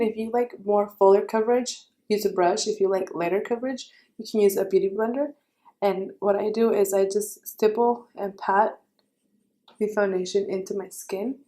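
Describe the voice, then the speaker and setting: A young woman with a raspy voice giving a chatty makeup tutorial, correcting herself and offering friendly advice. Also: mid-range voice, mellow. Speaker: beauty vlogger.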